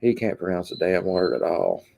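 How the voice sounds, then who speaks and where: A man's voice: sits low, huge pitch swings, moderate pace, gravelly, mellow. A man from Kentucky with a Kentucky accent hosts a rambling, casual live stream and reads out chat comments, with lots of ums. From Kentucky, USA. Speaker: livestream host.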